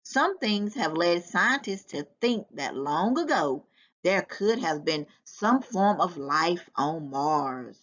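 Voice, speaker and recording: A woman reading a nonfiction book aloud to children in a deliberate, instructive way. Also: on the low side, expressive, a bit bright. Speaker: volunteer children's book reader. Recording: dull, lo-fi sound.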